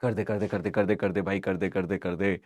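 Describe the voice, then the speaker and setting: A man with a hoarse voice teaches an informal live online maths class, teasing and scolding his students and repeating phrases for emphasis. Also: low-pitched, level tone, a little fast, a little mellow, dry recording, clean studio sound. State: hoarse, sore throat.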